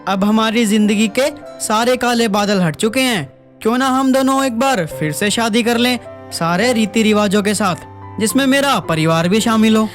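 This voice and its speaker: Man voicing an earnest young husband, high-pitched. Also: moderate pace, close to an everyday voice. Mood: romantic, tender. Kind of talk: animated story voice acting. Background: background music.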